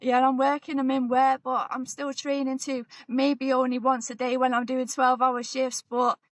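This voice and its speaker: A woman in her forties, a boxer talking as a guest in a relaxed, chatty way and often repeating herself. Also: sits high, normal speed, no echo. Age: 40s. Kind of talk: casual interview chat.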